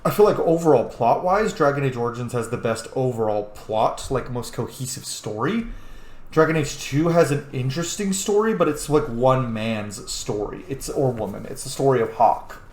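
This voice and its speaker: A man's voice: mid-range voice, lively intonation, normal speed, darkish tone. A man giving casual, rambling, opinionated gameplay commentary with some swearing. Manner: opinionated, profane. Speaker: gameplay host. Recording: echoey room, faint noise.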